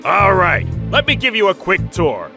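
An actor playing a bullying middle-aged mine foreman, barking in a gruff, domineering way. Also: high voice, huge pitch swings, normal speed, over music, exaggerated voice. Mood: angry barking. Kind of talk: anime dub acting.

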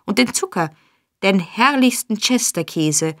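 Boastful young male thief, exuberant and high, voiced by a woman narrator. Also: animated, a little slow, fairly bright tone, dry recording, studio quality. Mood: bragging. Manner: exuberant, bragging. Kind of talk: audiobook character voice.

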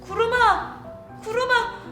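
A woman's voice: very high voice, steady tone, slow, pushed and bright, voice pushed up, heavily acted. A woman voicing an emotional, tender young rainbow girl who doubts herself, in a children's storytelling. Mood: anxious, pleading. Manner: plaintive, emotional, exclaiming. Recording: roomy echo, music underneath.

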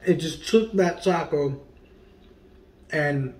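A man in his forties hosts a casual food review from a dining room at home, joking and animated. His voice sits a little high for a man, and he drops to quiet murmurs while tasting. The room gives his voice a noticeable echo. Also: takes it slowly. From Puerto Rican heritage. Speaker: food vlogger and comedian host. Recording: echoey room.

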